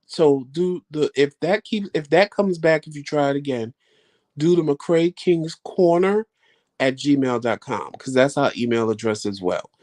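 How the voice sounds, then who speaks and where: A man's voice: on the high side, measured pace, darkish tone. Chatty man hosting a live chat. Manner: chatty, rambling, folksy with y'all. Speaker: vlog host answering live chat. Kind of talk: casual live-stream chat.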